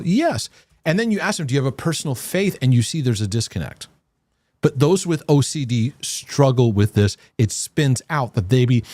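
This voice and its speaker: A man hosting a live Q&A, his pitch rising and falling in a lively, animated way. His voice sits dry and close, with little room sound. He moves between earnest answers and playful asides. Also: no room sound. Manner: earnest, playful with impressions and laughter. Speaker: host answering listener questions. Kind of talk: live Q&A on emotional health.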